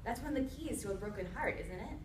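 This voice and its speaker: A woman plays an earnest, stubborn young servant in a radio play. Her voice is fairly high, heard a little away from the mic in an echoey room with faint noise. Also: animated, fast talker, recorded from a distance, close to an everyday voice. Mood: earnest pleading. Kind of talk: staged radio theatre dialogue. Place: indoor convention performance before microphones.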